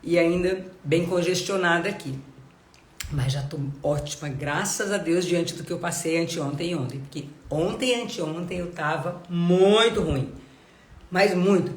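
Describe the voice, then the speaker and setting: A woman's voice: fairly low pitch, a little slow, roomy sound. A woman giving a live devotional Bible talk, nasal and congested from a cold, earnest and full of rhetorical questions. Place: home.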